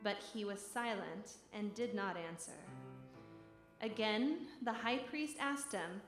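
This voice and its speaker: A woman reads scripture slowly in a solemn, declamatory way. Her voice is fairly high and carries through an echoey space, with music clearly playing behind her. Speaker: scripture reader. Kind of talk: solemn dramatic Bible reading.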